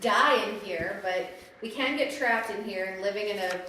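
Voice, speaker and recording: A woman's voice: medium pitch, normal speed, sharp tone, a little hiss or hum. A woman performing in a live comedy sketch, explaining things to the others. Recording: very echoey room.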